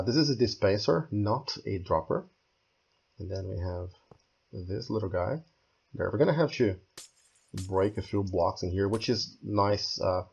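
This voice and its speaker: A hoarse man who is losing his voice, walking through a game build step by step and thinking aloud as he goes. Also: low-pitched, darkish tone, highs cut off. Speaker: game tutorial creator. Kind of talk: screen-recorded building tutorial.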